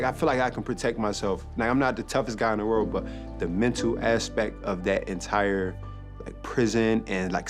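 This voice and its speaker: A man from Washington, D.C., talking casually and rambling with many fillers in a documentary interview. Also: lowish voice. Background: music.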